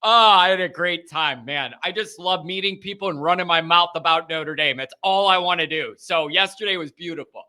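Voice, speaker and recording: A man's voice: a little high, normal speed, few pauses. A man hosting a sports talk show, chatting casually and energetically while his voice sounds strained. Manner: energetic, chatty, loud openers. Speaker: sports podcast host.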